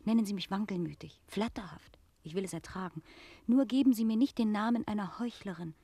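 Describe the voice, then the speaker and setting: Breathy, dark-voiced proud noblewoman. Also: mid-range voice, brisk, no echo. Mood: solemn, confessing. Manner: formal, theatrical, sighing. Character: proud aristocratic widow, feigning wistful candour.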